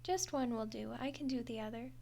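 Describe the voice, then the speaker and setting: A woman's voice: on the high side, brisk, gravelly, mellow, a little hiss or hum. A woman voicing a friendly, awkward undead skeleton girl in an audio roleplay, chatty and hesitant with a wry, defensive streak. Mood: friendly, sheepish. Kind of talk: audio roleplay monologue to the listener.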